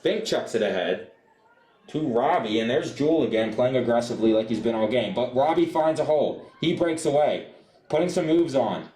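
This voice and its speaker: A young adult man doing live soccer play-by-play for radio, describing the action in an animated way. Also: mid-range voice, normal speed, reverberant. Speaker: play-by-play sportscaster.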